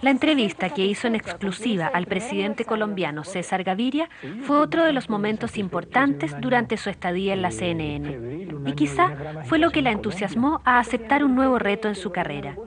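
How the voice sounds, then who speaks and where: A woman giving a measured, polished, scripted voice-over for a television news feature. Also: medium pitch, very animated, a crowd in the background. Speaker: TV reporter narrator. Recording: voice-over with music bed.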